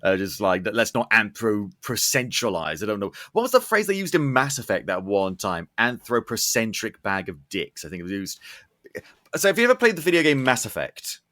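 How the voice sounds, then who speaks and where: A man with a bright, forward voice chats as a podcast host. His pitch swings widely as he riffs, swears casually and plays up mock outrage for comic effect. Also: big pitch swings, brisk. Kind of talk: casual chat, interviewing guests.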